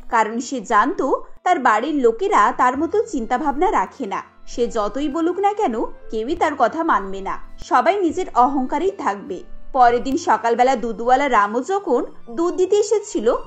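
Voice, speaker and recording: A woman's voice: high voice, very animated, moderate pace, slightly rough. A woman narrator reading a scripted cartoon family story. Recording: light music under the voice.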